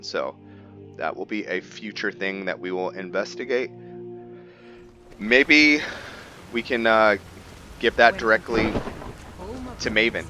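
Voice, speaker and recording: A man hosting a gameplay video with casual roleplay commentary, thinking aloud in a halting way. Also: deep voice, bright, forceful tone, slight background noise.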